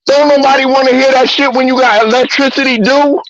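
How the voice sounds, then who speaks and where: A man's voice: extremely high pitch, steady tone, fairly quick, fairly bright tone, highs cut off. A man hosting a talk show, ranting bluntly and profanely with heated repetition. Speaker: host. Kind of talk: profane sports-talk rant.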